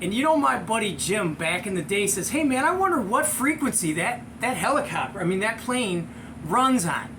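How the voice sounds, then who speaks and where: Male American storyteller, middle-aged, high voice, chatty and excitable, noisy home-style recording. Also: moderate pace. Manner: excitable, slangy, chuckling storyteller. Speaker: show host and storyteller. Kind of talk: animated casual anecdote, with acted-out sound effects.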